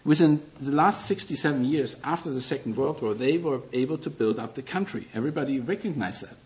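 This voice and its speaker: A man's voice: medium pitch, expressive, brisk, darkish tone, muffled recording. A middle-aged man with a German accent preaching a sermon as a guest, earnest and self-deprecating, with non-native phrasing.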